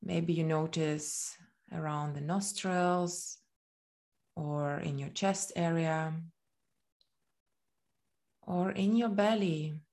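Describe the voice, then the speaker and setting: A woman with a fairly low voice guides a body scan meditation. She speaks very slowly and gently, leaving long pauses between short phrases. The delivery is soothing and unhurried throughout.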